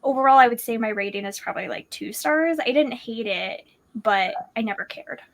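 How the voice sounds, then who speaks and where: A woman in a casual book discussion, giving her opinions as a panelist in a halting, informal way, thinking aloud. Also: on the high side, animated.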